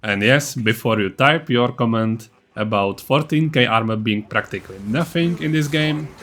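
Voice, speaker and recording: A man's voice: mid-range voice, normal speed, fairly bright tone, soft background music. Man narrating a gaming build guide. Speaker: gaming video creator.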